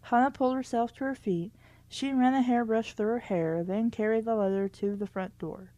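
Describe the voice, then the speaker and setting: A woman's voice: on the high side, steady tone, moderate pace, dark tone, no echo, rough recording. A woman reading a children's book aloud in a casual way, with wry, candid asides of her own. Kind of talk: casual read-aloud with commentary.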